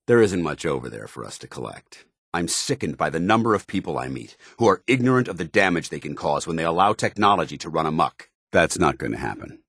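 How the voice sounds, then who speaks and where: Casting: proud military paladin for a game, very low, husky voice, formal and earnest, recorded dry. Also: male voice, no room sound, full character voice. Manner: formal, clipped, commanding, earnest. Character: stern, proud, earnest male military paladin soldier companion. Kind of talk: scripted video game companion dialogue.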